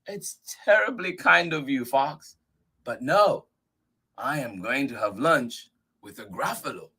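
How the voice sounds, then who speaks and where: A man's voice: mid-range voice, expressive, unhurried, sharp tone, husky, full character voice. A man voicing a small, clever mouse in a children's story, prim and polite, in a raised voice.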